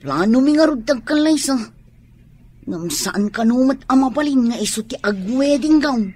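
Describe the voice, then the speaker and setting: A woman's voice: high-pitched, animated, normal speed, darkish tone, husky, no room sound. A woman acting an earnest, plaintive tomboy sweetheart in a radio drama. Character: lovestruck tomboy sweetheart, earnest, reluctant to wear a gown.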